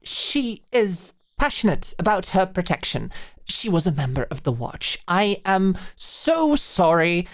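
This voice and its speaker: A woman's voice: lowish voice, big pitch swings, measured pace. A woman playing a nervous male con man who poses as a physician in a medieval fantasy audio drama, polite, flustered and apologetic.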